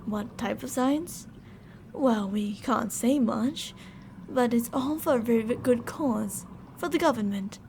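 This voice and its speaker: Woman acting a creaky-voiced, sweetly menacing female scientist. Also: on the high side, slow, a little mellow, slight background noise. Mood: smug, coaxing. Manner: sugary politeness turning stern and commanding. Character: controlling female mad scientist, sweet-talking, fretful captor. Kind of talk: ASMR roleplay audio drama.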